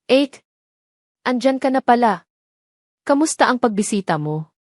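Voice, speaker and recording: A woman's voice: on the high side, expressive, measured pace, a little mellow, dry recording, studio quality, pitched above the performer's own voice. A woman voicing a falsely sweet female rival in an audio story, sugary and overly friendly. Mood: fake cheerful.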